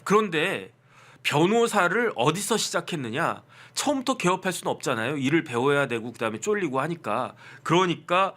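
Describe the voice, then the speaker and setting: Middle-aged male lawyer-host, higher-pitched voice, wry commentary that poses questions and answers them. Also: sing-song, normal speed, sharp tone. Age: 50s. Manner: rhetorical questions answered himself, wry asides. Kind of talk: casual political commentary.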